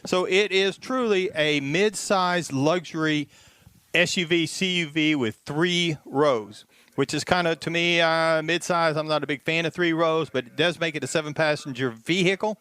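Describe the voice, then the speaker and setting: A man's voice: a little high, normal speed, a bit bright, smooth, dry recording. A man casually reviewing a car on a radio show, listing features with fillers.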